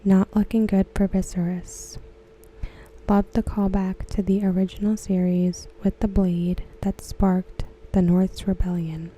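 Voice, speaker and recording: A woman reading aloud softly for ASMR, gentle and hushed, with a breathy voice that often slips into a whisper. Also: mid-range voice, flat delivery, measured pace, poor audio. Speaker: ASMR host. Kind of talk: ASMR, soft-spoken reading of discussion comments.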